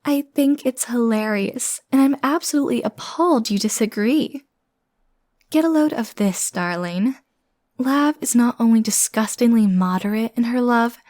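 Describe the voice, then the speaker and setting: A woman's voice: on the high side, a little mellow, no echo, close to an everyday voice. Woman playing a dramatic, obsessive yandere sister, dry studio sound. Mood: smug, boastful. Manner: theatrical, indignant, rambling, boastful. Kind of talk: comedic audio roleplay.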